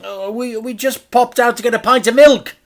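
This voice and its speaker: A man's voice: very high-pitched, fairly even intonation, normal speed, voice pushed up. A man voicing an anxious, hapless but loving dad in a children's storybook, earnest and hesitant. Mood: sheepish.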